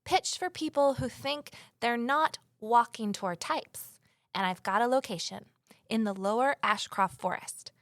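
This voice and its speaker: An actress playing a determined, resourceful amateur sleuth in an audio drama, brisk and persuasive as she narrates and talks. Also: on the high side, a bit bright, dry recording, studio quality. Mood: eager, persuasive. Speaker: narrator and lead character.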